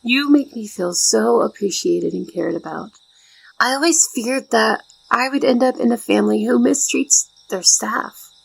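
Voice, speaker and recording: A woman playing a shy, polite, devoted young maid in an ASMR roleplay, speaking gently and formally. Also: a little high, unhurried, creaky, husky, dry recording, heavily acted, rough recording. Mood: tender, soothing.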